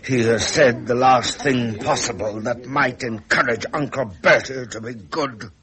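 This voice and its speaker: An actor playing a weary, dignified middle-aged British prince with an upper-class English accent, measured and restrained. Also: male voice, medium pitch, crowd noise, lightly acted. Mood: restrained irritation. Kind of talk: 1970s British period drama acting.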